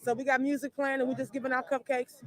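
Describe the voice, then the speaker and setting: High-voiced soft-spoken woman interviewed. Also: level tone, fast. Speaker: nonprofit executive director. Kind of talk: brief street interview.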